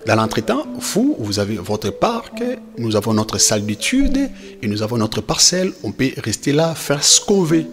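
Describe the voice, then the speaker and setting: A man's voice: mid-range voice, wildly swooping pitch, moderate pace, hardly any pauses, music in the background. A man playing a devout, proud, affectionate father who teaches his children, effusive and encouraging, repeating phrases for emphasis. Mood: instructive.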